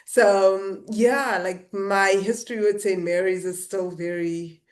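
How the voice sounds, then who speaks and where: A woman's voice: mid-range voice, takes it slowly. Young woman from South Africa, guest on a webinar, laughs easily, explains with energy. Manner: laughs often, lively and explanatory. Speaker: guest author. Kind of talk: webinar conversation.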